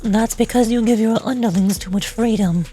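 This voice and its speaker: A woman's voice: on the high side, normal speed, dark tone, soft background music, natural voice. Stern female enforcer for a game story, acted by a woman streamer, severe, indignant, commanding. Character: stern, indignant, strict female officer of a gang.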